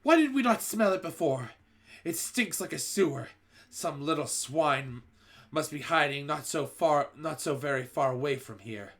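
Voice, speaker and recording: A man's voice: on the high side, animated, moderate pace, a bit bright, pitched above the performer's own voice. A man reading a storybook aloud, voicing a crowd of witches who shriek in disgust.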